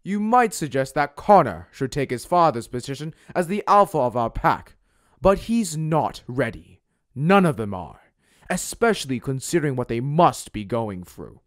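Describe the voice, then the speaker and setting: A man playing a scheming, smooth-talking werewolf pack rival in a roleplay drama, measured and condescending. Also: medium pitch, big pitch swings, dry recording, clean studio sound, lightly acted. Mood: smugly reasonable.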